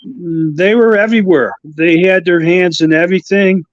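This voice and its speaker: A man with a faint Chicago accent and a fairly high voice, chatting as a guest. He stops and starts, filling gaps with uhs and restarting his sentences. The audio is muffled and dry, like a remote call. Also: no echo. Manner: halting, full of uhs and restarts. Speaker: guest co-host. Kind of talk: casual conversation.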